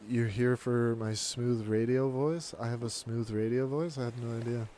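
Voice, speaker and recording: A man's voice: mid-range voice, fairly even intonation, normal speed, mellow, a little hiss or hum. A man streaming a video game live, chatting casually and answering viewers' comments aloud in a laid-back way.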